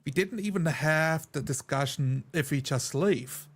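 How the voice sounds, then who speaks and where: Guy with a kinda higher voice, earnest, casually explaining how he likes to roleplay at the table. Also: unhurried, slightly rough.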